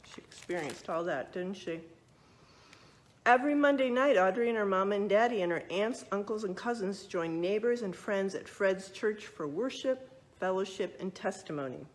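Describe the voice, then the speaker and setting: A woman tells a story to young listeners in a very animated, mid-pitched voice. She reads expressively from a picture book and steps out of the text to explain things and ask questions. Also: moderate pace. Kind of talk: read-aloud storytelling with explanatory asides. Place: museum exhibit space.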